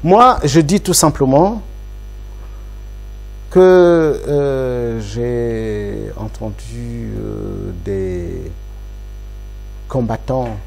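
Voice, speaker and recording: A man's voice: medium pitch, drawn-out words, creaky, pauses often, darkish tone. A man from Congo, an opposition politician speaking in an interview, making his points emphatically with rhetorical repetition. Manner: emphatic, rhetorical, repeats phrases for effect.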